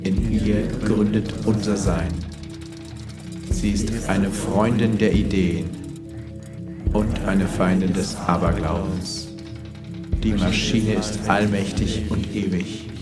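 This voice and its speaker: A man's voice: lowish voice, fairly even intonation, takes it slowly. A man playing a cult leader in a radio play, reciting a creed in a solemn, liturgical way. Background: loud music.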